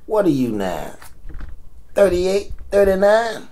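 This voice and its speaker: A man's voice: sits high, huge pitch swings, slow, warm tone, full character voice. A man playing a blunt, teasing old American grandfather in an audio drama, salty and slangy as he scolds. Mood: scolding.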